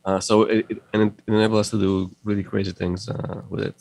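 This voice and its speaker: A middle-aged man from Moldova with a mixed Russian and Israeli accent, talking haltingly in a casual interview, with many fillers and restarts. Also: lowish voice, flat delivery, vocal fry, a little mellow, no room sound. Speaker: guest, audio company founder.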